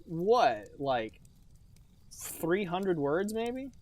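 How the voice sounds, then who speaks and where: A male podcast host with a fairly high voice, trading sarcastic jokes with his co-hosts in loose, casual chat. He talks in an animated, playful way. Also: very slow, over a noisy crowd.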